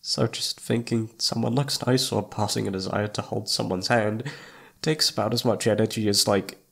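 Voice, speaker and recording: Man acting a shy, stammering young incubus, lowish voice. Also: fairly even intonation, moderate pace, gravelly, slightly rough. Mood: awkward, explaining. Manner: hesitant, stammering, awkward, trailing off. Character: shy, flustered young male incubus roommate, awkward and smitten. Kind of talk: ASMR roleplay audio drama.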